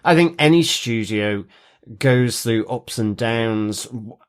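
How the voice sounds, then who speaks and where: A man's voice: mid-range voice, slow pace, clear, resonant tone. A man co-hosting a podcast, giving his opinions in casual chat with many conversational asides. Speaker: podcast co-host.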